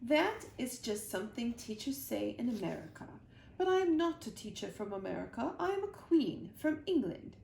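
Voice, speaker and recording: A woman's voice: fairly high pitch, very animated, moderate pace, darkish tone. A woman voicing a haughty, commanding Queen of England with an imperious, formal manner for a children's storybook. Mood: disdainful. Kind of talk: children's storybook read-aloud. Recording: roomy echo, clear background noise.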